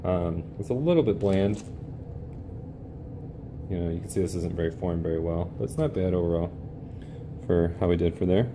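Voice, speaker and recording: A man with a very low voice walks through a cooking demonstration in a relaxed, explanatory way, leaning on casual fillers. He is recorded on a camera mic at an indoor bench, with a clear steady hiss of background noise under his voice. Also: moderate pace, long pauses. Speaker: teacher demonstrating a recipe.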